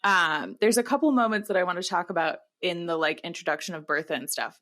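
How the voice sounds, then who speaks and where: A woman's voice: lowish voice, quick pace, a bit bright. A woman co-hosting a podcast in a casual, comedic chat, giggly and colloquial in the way she talks. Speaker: podcast co-host.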